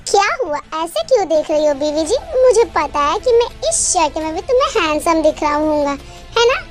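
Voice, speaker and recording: Woman as a cocky, very high-voiced young husband. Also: expressive, a little fast, faint music behind. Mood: teasing. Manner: teasing, self-satisfied.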